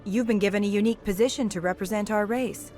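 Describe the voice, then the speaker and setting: An actress playing a skeptical TV news reporter in a science fiction game, pressing with pointed interview questions. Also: female voice, mid-range voice, quick pace, darkish tone, faint music behind, lightly acted. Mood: formal, probing.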